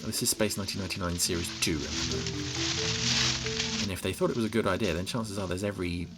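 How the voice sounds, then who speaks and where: A man's voice: deep voice, fast. A man giving sardonic, exasperated scene-by-scene commentary on a television episode as a podcast reviewer. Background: TV episode soundtrack with music.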